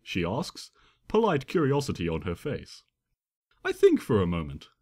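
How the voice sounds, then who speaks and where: A man reading fan fiction as an expressive, theatrical first-person narration. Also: lowish voice, moderate pace, slightly rough. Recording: long hall reverb.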